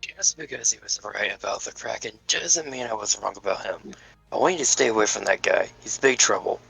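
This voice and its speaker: A gruff, protective cartoon grandfather, voiced by a man, low and gravelly with a forceful, husky edge. It is an amateur table read. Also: brisk, no echo, faint music behind, heavily acted, rough recording. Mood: stern.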